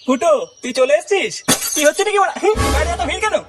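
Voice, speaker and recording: A man's voice: extremely high pitch, expressive, quick pace, fairly bright tone, faint music behind. A man voicing a foul-mouthed, hot-headed village club member in a comedy cartoon, crude and agitated. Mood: agitated, indignant. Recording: noisy, distant-sounding cartoon dialogue track.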